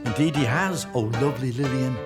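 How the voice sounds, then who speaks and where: A man's voice: mid-range voice, fairly quick, music in the background, natural voice. An actor playing a wry, gallant village pub man in a comedy audio drama, droll and flowery.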